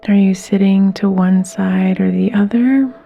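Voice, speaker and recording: A woman leading a guided meditation in a soft, gentle, soothing way, with unhurried pauses and some breathiness. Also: mid-range voice, fairly even intonation, gravelly, lo-fi sound, soft background music.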